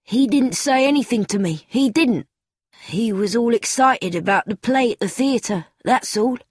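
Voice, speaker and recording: A woman's voice: on the high side, lively intonation, unhurried, husky, no echo, clean studio sound. A woman reading aloud, voicing a nervous young boy who insists and protests eagerly. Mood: protesting.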